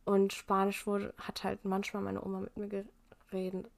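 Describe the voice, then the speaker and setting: A young woman from Germany, a guest in a casual interview, talking thoughtfully and candidly with soft laughs. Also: mid-range voice, completely flat delivery, normal speed, creaky. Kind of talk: casual conversation.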